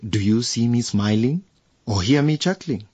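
An actor playing a brusque, condescending older electoral commissioner in a radio drama. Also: male voice, medium pitch, moderate pace, a little mellow, slightly rough, no echo, close to an everyday voice. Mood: dismissive. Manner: condescending, curt, officious.

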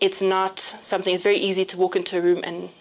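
Female panel guest from South Africa, slightly low voice, thoughtful, rephrases as she goes. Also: completely flat delivery, fast, a little hiss or hum, low-quality recording. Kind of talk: reflective conversational answer.

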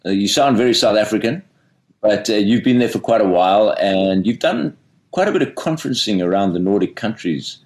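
Male interviewer, deep voice, measured and careful. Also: expressive, darkish tone. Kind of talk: interview questions and comment.

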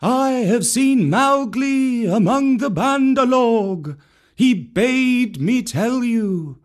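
A man voicing a male kite who brings a message, speaking slowly and formally in a raised, distant-sounding voice. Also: very high-pitched, animated, voice pushed up, full character voice. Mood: solemn. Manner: slow, formal, ringing delivery. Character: high-flying male kite, messenger bird.

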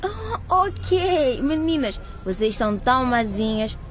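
A woman playing an excitable, teasing teenage girl in a radio drama. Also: high voice, takes it slowly, slight background noise, heavily acted. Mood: surprised, mock-reproachful.

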